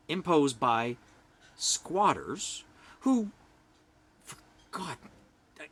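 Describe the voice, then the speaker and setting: A middle-aged man from Canada with a loud voice, ranting bluntly to camera and swinging from hushed whispers to forceful outbursts. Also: a little high, slightly rough. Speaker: vlogger. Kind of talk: personal rant to camera.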